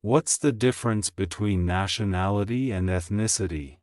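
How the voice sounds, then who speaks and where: Low-voiced man reading sentences slowly. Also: clean tone, dry recording, studio quality. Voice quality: plain, even reading of each sentence, repeated. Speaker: English teacher reading practice sentences. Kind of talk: slow, clear scripted reading for shadowing practice.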